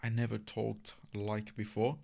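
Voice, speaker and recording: A young Italian host speaks English with an Italian accent. His voice is fairly low with heavy gravelly fry, and he talks in a chatty, teasing way with his friend. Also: monotone, a little slow, a little mellow. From Italy. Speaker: podcast host. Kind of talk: casual conversation.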